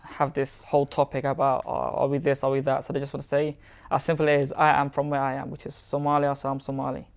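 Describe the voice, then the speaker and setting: Male guest, middle pitch, plainspoken, casual chat. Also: fast, creaky. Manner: relaxed, candid, plainspoken. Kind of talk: casual conversation.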